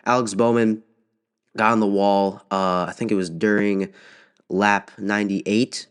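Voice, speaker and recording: A man's voice: lowish voice, flat delivery, clean tone. Sniffly young man recapping races. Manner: casual, halting, frequent fillers and restarts. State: sick with a cold, sniffling. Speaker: podcast host. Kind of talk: solo race recap.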